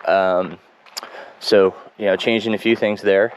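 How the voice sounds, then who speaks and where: A man speaking in a casual running video diary, congested and froggy, reflective and halting with many ums and restarts. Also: sits low, steady tone, background noise. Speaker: running vlogger. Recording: dull lo-fi home recording.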